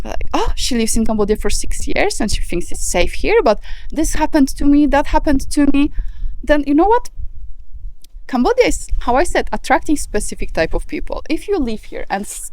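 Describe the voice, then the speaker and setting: A woman's voice: sits high, darkish tone, low-quality recording. A woman vlogger talking frankly to camera, earnest with small laughs and filler words. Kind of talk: casual to-camera talk. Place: outdoors on a walk in the later part.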